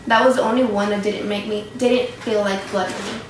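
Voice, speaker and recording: A woman's voice: medium pitch, fairly even intonation, fairly quick, lightly acted. A woman reading a remorseful narrator's memories of boyhood as scripted readers' theatre. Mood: remorseful, reflective. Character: remorseful Afghan narrator recalling his boyhood. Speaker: student performer. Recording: echoey room, faint background noise.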